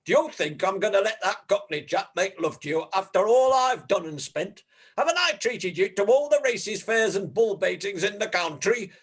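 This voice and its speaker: A man's voice: high-pitched, expressive, normal speed, slightly rough. A man playing a blustering Yorkshire farmer. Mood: jealous rage. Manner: bluff, blustering, shouting at times.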